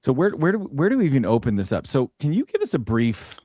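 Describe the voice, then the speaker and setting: A man's voice: mid-range voice, huge pitch swings, fast, warm tone, no echo. A man hosting a live podcast stream, chatting casually and joking, often restarting his sentences. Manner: joking, loose, restarts a lot. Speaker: podcast host.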